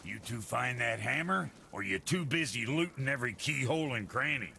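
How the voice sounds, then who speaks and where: Gravelly, brash blacksmith. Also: male voice, mid-range voice, lively intonation, normal speed, background noise. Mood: brusque, sarcastic. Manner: blunt, sarcastic, needling. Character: brash, foul-mouthed, sardonic dwarf blacksmith. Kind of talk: cinematic video game dialogue.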